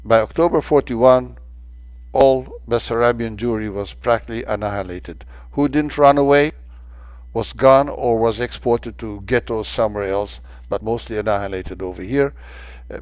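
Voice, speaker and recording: An older man from Romania presents a travel lecture over slides, speaking in a middle pitch. He talks haltingly, filling gaps with frequent hesitations and restarting his phrases. His voice is recorded close and dry, with no room sound. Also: no room sound.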